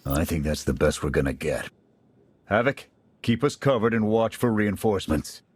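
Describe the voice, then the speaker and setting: An actor playing a seasoned male special forces major in a game cutscene, giving clipped military briefings with a husky voice. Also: fairly low pitch, animated, moderate pace, slight background noise, close to an everyday voice. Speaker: military squad commander. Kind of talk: video game cutscene acting.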